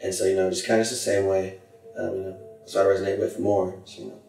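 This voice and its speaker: A young man talks in a low, fairly flat voice, easygoing and filling his sentences with "you know". He sits away from the microphone, and the room around him rings with echo. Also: fast, vocal fry, recorded from a distance, faint music behind. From Hawaii, raised in California. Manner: laid-back, many "you know" fillers, trails off quietly. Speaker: podcast guest, rapper. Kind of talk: casual podcast chat.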